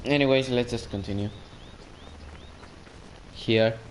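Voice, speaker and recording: A man's voice: medium pitch, takes it slowly, a little hiss or hum. A man with a Greek accent chatting casually and joking while streaming a game and reading chat.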